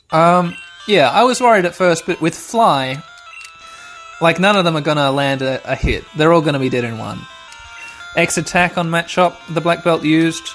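A man in his thirties with a slightly hoarse voice, hosting a let's play with rambling, sweary, mock-dramatic gameplay commentary. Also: on the high side, a bit bright. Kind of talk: casual gameplay commentary with nostalgic asides. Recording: music playing behind the voice. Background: music.

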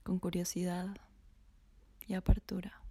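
A woman slowly guiding a body scan meditation in a soft, half-whispered voice. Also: on the low side, completely flat delivery, husky, no room sound. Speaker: meditation guide.

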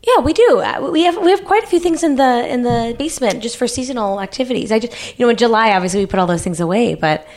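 Woman as a meek, higher-voiced apologetic employee. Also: animated, fast talker, hardly any pauses, a little mellow, close to an everyday voice. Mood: nervously helpful. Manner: hesitant, stammering, deferential. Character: meek, apologetic, eager-to-please woman staff member. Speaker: improv performer. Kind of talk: live improvised radio theater.

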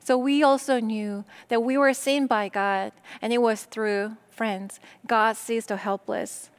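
A middle-aged woman from Korea, a native Korean speaker, preaching with a slightly raised pitch. She speaks slowly and deliberately and pauses between short phrases. Her delivery is calm and steady. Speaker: pastor. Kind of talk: sermon.

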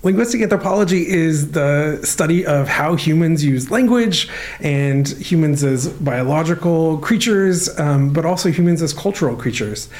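A man speaking as a university professor, answering interview questions in a relaxed, thoughtful way with frequent pauses and fillers. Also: a little high. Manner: thoughtful, many "um" and "uh" while explaining.

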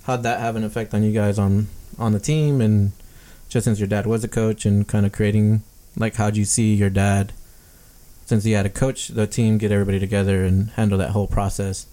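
A man's voice: on the low side, flat delivery, fairly quick, mellow. A man co-hosting a podcast, asking casual, rambling questions in an informal way. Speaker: podcast co-host. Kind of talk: casual interviewing.

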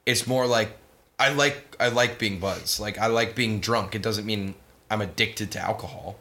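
A man's voice: lowish voice, steady tone, moderate pace, pushed and bright, slight background noise. A young man co-hosting a podcast, fighting a cold, rambling with lots of fillers and getting defensive. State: has a cold. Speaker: podcast co-host. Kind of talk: casual podcast chat.

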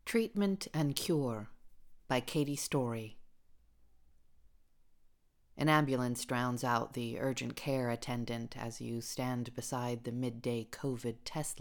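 A woman with an exceptionally low voice reads literary fiction. Her delivery is slow and deliberate, with a marked creak running through the measured narration. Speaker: story reader.

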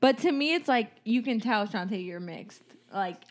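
A woman co-hosting a podcast, chatting casually, full of "like" fillers and quick to laugh. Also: on the high side, expressive. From United States. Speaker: podcast co-host. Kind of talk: casual conversation.

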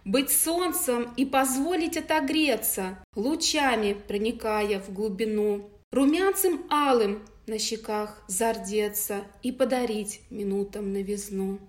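A young woman, a guest poet, speaking gently in a casual chat and reading her poems. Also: fairly high pitch, a little slow, few pauses. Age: young adult. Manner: gentle, soft-spoken.

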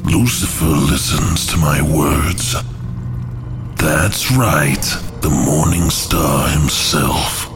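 Casting: male actor as brooding, scheming demon for fantasy audio drama, extremely low voice, slow and deliberate delivery. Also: full character voice. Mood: brooding, persuasive. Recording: music under the voice. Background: dramatic music.

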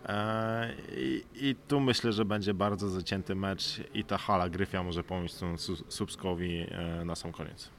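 A man's voice: low-pitched, steady tone, normal speed, few pauses. A man answering interview questions thoughtfully as a guest athlete on a sports podcast. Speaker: basketball player, podcast guest. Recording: clearly noisy background ambience.

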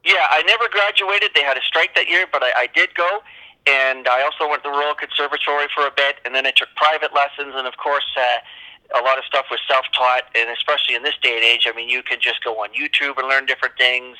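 Male, forties, Canadian accent. Musician guest in casual interview, rambling storyteller, very bright and forceful tone. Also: medium pitch, low-quality recording.

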